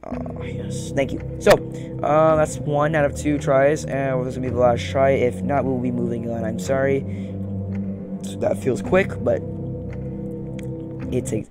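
Gravelly young man rambling over game music. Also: medium pitch. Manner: rambling, muttering, talks to game characters. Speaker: gaming streamer. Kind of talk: casual gameplay commentary. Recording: loud game music behind voice.

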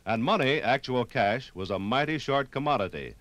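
Male narrator, medium pitch, expressive range, solemn vintage radio storytelling. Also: moderate pace, dry recording. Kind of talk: dramatic scripted 1950s radio narration. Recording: 1950s vinyl radio recording, music underneath.

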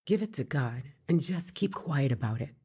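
An actress playing a discreet pastor's wife in an audio drama, giving cautious counsel in a mellow, husky voice. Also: female voice, low voice, animated, moderate pace, exaggerated voice. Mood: cautioning.

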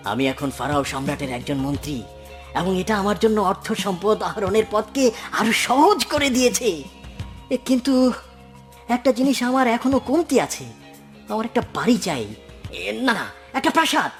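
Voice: medium pitch, expressive, moderate pace, pauses often, soft background music, natural voice. An actor's cartoon performance of a vain, scheming rich man who is boastful and fawning, with theatrical swoops from mutters to cries. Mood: greedy, excited. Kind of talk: dramatic Bengali cartoon voice acting.

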